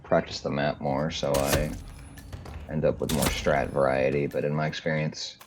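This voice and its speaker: A man with a deep voice giving casual, laid-back live commentary on a game match, thinking aloud with fillers. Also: flat delivery, moderate pace, noisy background. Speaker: tournament commentator. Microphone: home streaming mic, lower quality.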